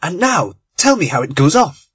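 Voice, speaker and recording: Man voicing well-mannered young English lord; earnest, lively pitch. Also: moderate pace, slightly rough, exaggerated voice. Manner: polite, earnest.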